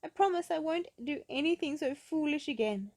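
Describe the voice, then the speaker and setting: A daring little cartoon girl, full of energy, played by a woman reading aloud. She sounds cheerful and confident, with a raised pitch, as a character in a children's storybook. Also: animated, normal speed, darkish tone. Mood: giggly, pleading.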